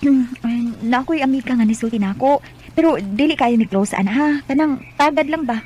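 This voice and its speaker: High-voiced, hesitant young Cebuano confidante. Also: female voice, fairly quick, darkish tone. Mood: hesitant, confiding. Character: young female cousin, apologetic, hesitant confidante. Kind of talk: Bisaya radio drama acting.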